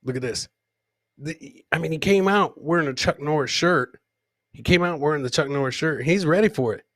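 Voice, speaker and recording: A man's voice: a little high, normal speed, a bit bright, no room sound, clean studio sound. A man hosting a comedy podcast with casual, jokey live commentary, often repeating phrases for effect. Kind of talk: casual comic live-stream commentary, reading chat comments aloud.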